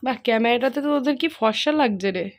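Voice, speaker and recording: A woman's voice: on the high side, quick pace. A young woman with a Bengali accent narrating a casual home vlog, chatting to viewers and family in a playful, teasing way. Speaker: family vlogger, mother.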